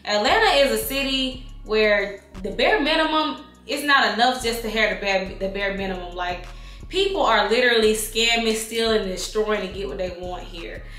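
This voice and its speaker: A woman's voice: mid-range voice, bright, forceful tone, reverberant. A woman in her thirties answering viewer questions in a casual vlog, candid and slangy, calling listeners honey and baby. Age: 30s.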